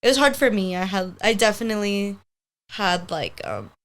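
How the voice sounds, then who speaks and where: Woman, twenties, Spanish native speaker, casual rambling chat, podcast mic, medium pitch. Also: sing-song, normal speed. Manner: rambling, heavy use of "like", upbeat. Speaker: podcast guest, hair stylist.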